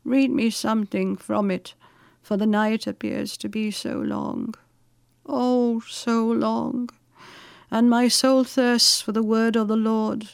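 A woman voicing a frail, pious sick widow in a weak, soft, slow and yearning storybook manner. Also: fairly high pitch, flat delivery, vocal fry, clean tone, no room sound, clean studio sound. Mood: weary yearning.

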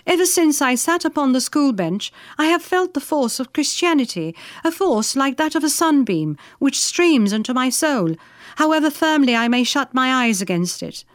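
A woman voicing a gentle, devout and dutiful servant girl in earnest, solemn, archaic storybook speech. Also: sits high, very animated, moderate pace, no room sound, studio quality, voice pushed up. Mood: fervent resolve.

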